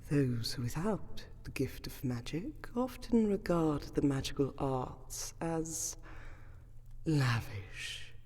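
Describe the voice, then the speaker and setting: Woman playing a slow, gracious vampire noblewoman. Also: sits low, expressive, warm tone, full character voice. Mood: reassuring, formal. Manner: formal, archaic, soothing, wistful. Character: gracious ancient vampire lady, noble keeper of a magical sanctum. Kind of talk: scripted fantasy roleplay audio, addressing the listener.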